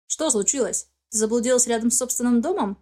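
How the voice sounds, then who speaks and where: A mysterious, unhurried man as a woman audiobook reader plays him, teasing and quietly commanding. The voice is mellow and calm. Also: fairly high pitch, close to an everyday voice. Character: mysterious calm male stranger speaking through a drone.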